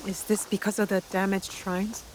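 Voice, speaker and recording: A woman's voice: medium pitch, level tone, normal speed, a little hiss or hum, natural voice. An actress playing a resolute, wary female rebel with a dry, no-nonsense manner in a video game cutscene. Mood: measured, curious. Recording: music behind the voice. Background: music.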